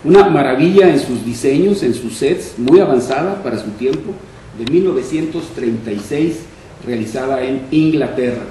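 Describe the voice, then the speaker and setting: An older man giving a public panel talk in a deliberate, formal, measured way into a table microphone. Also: mid-range voice, lively intonation, mellow. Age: older, 60s or 70s. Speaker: panel speaker on science fiction. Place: indoor room at a panel table. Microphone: shared table microphone on stand. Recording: echoey room, distant mic, faint noise.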